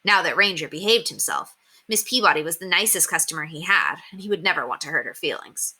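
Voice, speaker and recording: A woman reading a children's story aloud, narrating expressively in a clear, animated way. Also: low voice, fast talker. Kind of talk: expressive scripted narration with character voices.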